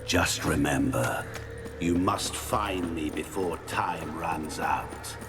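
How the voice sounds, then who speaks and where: An actor playing a sinister, playful immortal devil in human guise in a video game, taunting with riddles and savoring every word. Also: male voice, extremely low pitch, takes it slowly, over loud music. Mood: quietly threatening. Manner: theatrical, mocking, savoring words. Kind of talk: dramatic video game acting.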